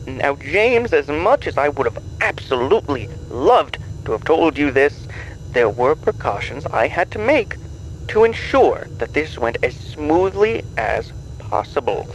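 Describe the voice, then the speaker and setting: A man's voice: on the high side, big pitch swings, measured pace, a little hiss or hum, poor audio. A man playing a suavely menacing game show boss in an audio drama, theatrical, condescending and mock-polite. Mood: amused evasion.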